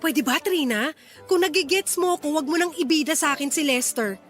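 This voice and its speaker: A woman's voice: high voice, fairly quick, fairly bright tone. A woman acting an irritated, picky young single mother with blunt, huffy retorts in a Filipino radio drama.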